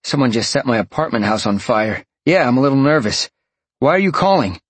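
A man's voice: on the low side, fairly quick, breathy edge, dry recording, pitched above the performer's own voice. A man voicing a tense, hunted young man in an audiobook, blunt and urgent. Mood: nervous, alarmed.

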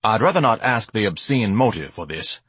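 A man's voice: lowish voice, sing-song, moderate pace, fairly bright tone, breathy edge, no echo, heavily acted. A man voicing a pompous, indignant, gluttonous educated man with grandiose, haughty diction in an audiobook. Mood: smug. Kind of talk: audiobook character acting.

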